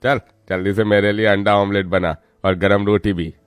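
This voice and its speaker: A man's voice: lowish voice, flat delivery, normal speed, clear, resonant tone, no echo, clean studio sound, lightly acted. A man voicing an arrogant, drunken gambler husband with a swaggering, demanding manner in a cartoon story.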